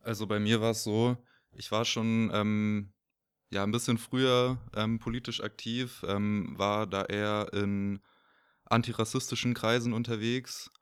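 A man's voice: fairly low pitch, flat delivery, a little slow, clear, resonant tone, dry recording, studio quality. A man in his twenties from Germany, talking hesitantly with many fillers on a podcast. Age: 20s. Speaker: podcast co-host. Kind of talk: casual podcast chat.

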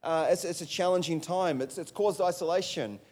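A man's voice: sits high, normal speed, darkish tone. A man preaching a conversational sermon in a church, asking the congregation rhetorical questions and restarting his sentences. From New Zealand. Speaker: pastor. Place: church hall. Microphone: handheld or stage mic.

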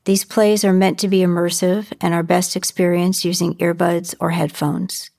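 Low, creaky woman announcer. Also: flat delivery, normal speed, dark tone. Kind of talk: scripted advisory narration.

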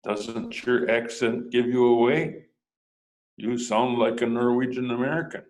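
A man's voice: medium pitch, steady tone, unhurried, darkish tone, close to an everyday voice. A man voicing a prying teenage servant girl who mocks a stranger's speech. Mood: teasing.

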